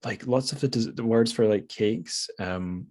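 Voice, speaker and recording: A man's voice: lowish voice, unhurried, darkish tone. A British man hosting a casual interview podcast, talking in a rambling, tentative, self-deprecating way. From United Kingdom.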